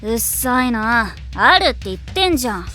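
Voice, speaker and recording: A woman voice-acting a brash, hot-tempered high school tomboy in an anime, with rough, slangy, boyish speech and a blunt, defensive manner. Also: very animated, moderate pace, bright, forceful tone, soft background music. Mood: irritated, defensive.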